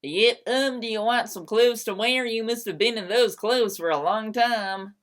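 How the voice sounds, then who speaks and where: A woman's voice: a little high, measured pace, pitched above the performer's own voice, lightly acted. Woman putting on a gushing, eager teenage fangirl. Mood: flustered, eager. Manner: hesitant, stammering, eager. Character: gushing, scheming teenage fangirl who lies brightly. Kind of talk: amateur dramatic reading of fanfiction.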